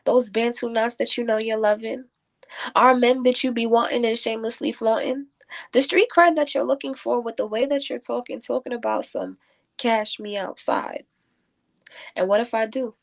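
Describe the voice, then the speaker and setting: A woman's voice: on the high side, animated, normal speed, creaky. A woman guest who is a spoken word poet, moving between casual talk and rhythmic, declamatory verse. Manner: declamatory, rhyming delivery when reciting.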